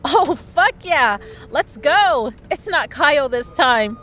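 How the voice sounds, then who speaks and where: A woman's voice: high-pitched, lively intonation, takes it slowly, a bit bright, faint music behind, heavily acted. A woman playing a cheeky, smug female villain in a comedic audio roleplay, with breezy, sarcastic teasing. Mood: excited, friendly. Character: cheeky, smug woman supervillain, frequent hospital patient.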